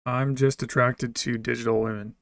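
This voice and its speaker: Gravelly-voiced man chatting deadpan. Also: medium pitch, normal speed, dry recording. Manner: joking, deadpan, talks straight to chat. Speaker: livestreamer reading chat messages. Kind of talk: casual livestream chat.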